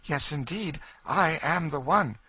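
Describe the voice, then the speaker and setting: A man's voice: on the high side, unhurried, pushed and bright, breathy edge, no room sound, voice pushed up, exaggerated voice. A man reading an audiobook, voicing a proud, grieving freed woman who asks eager, emotional questions. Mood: tearful pride. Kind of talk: audiobook character voice.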